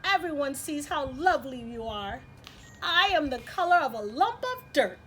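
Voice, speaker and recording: A woman's voice: sits high, sing-song, normal speed, over music, pitched above the performer's own voice. A woman voicing a sad, self-doubting little boy cricket in a plaintive storybook read-aloud. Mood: plaintive, wistful. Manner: plaintive, protesting.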